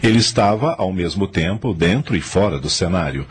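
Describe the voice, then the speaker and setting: A man's voice: low-pitched, lively intonation, normal speed, breathy edge. A man narrating a scripted radio drama as a dramatic storyteller. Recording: strong music behind the narration.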